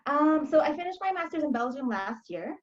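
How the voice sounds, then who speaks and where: Young woman from lebanon chatting on a video call, kinda high voice, muffled audio. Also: big pitch swings. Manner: candid, storytelling, quick asides. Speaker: life coach and guest. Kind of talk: interview conversation.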